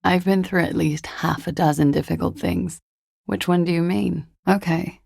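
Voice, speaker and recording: An actress playing a cool, guarded young woman in a video game, with a husky, breathy, gravelly voice and a languid, dryly understated delivery. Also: on the low side, fairly even intonation, normal speed, darkish tone, dry recording. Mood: wry, detached. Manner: languid, dry, wryly understated. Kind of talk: video game character dialogue.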